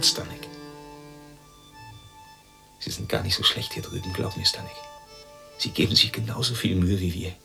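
Brooding, gravelly man. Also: on the low side. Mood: guilty, pleading. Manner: brooding, halting short sentences. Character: restless, guilt-ridden East German ex-prisoner confiding in a silent companion. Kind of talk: radio drama monologue.

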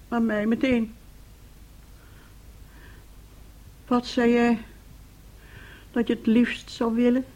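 A woman's voice: a little high, a little mellow, rough recording. An actress playing a domineering, weary older mother in a radio play, speaking in a stern, emphatic way. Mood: urgent, commanding.

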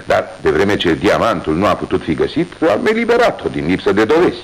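An actor playing a polite, deferential police inspector in a 1980s studio radio drama, speaking courteously and jovially. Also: male voice, sits low, huge pitch swings, exaggerated voice, low-quality recording. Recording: old radio recording with faint hiss.

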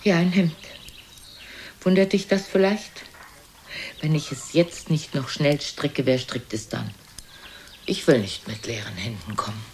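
An older woman playing a frail, sharp-tongued, reproachful old mother in a German radio play, scolding theatrically and dropping into whispers. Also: low voice, a little slow, pauses often. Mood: brisk, testy. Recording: noisy older radio recording.

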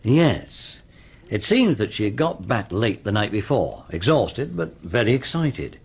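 A methodical British police inspector in a radio drama. His voice is husky and moves freely in pitch as he deliberately lays out facts. The recording is a little dull. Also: sing-song, heavily acted. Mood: grave, matter-of-fact. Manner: measured, deliberate, probing. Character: methodical, matter-of-fact male police inspector. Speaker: actor in a radio mystery dramatisation. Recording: slightly dull, band-limited radio recording.

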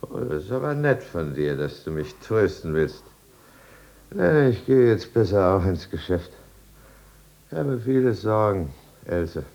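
A man's voice: deep voice, animated, a little slow, creaky, pauses often, a little mellow, muffled recording, full character voice. An actor playing a tipsy, flirtatious, self-pitying businessman in a 1950s German radio drama, wheedling and over-familiar. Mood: troubled, self-pitying.

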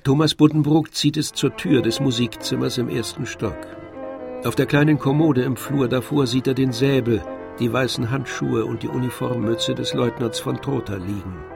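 A male narrator with a gravelly, medium-pitched voice. He reads literary radio drama narration in a measured way, with faint music underneath.

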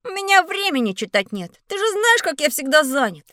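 A woman's voice: sits high, fairly quick, dry recording, clean studio sound, heavily acted. A woman voicing a curious, cheeky schoolboy in a scripted children's educational audio play. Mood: defensive. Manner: inquisitive, expressive questioning. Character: curious busy schoolboy Petya, cheeky and eager.

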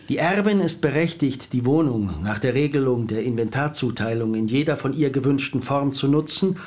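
An actor as a formal Swiss lawyer. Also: male voice, medium pitch, a little mellow, close to an everyday voice. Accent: Swiss German. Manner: formal, measured, legalistic. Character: formal Swiss lawyer man, precise and soothing. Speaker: lawyer reading a will. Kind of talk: radio drama acting.

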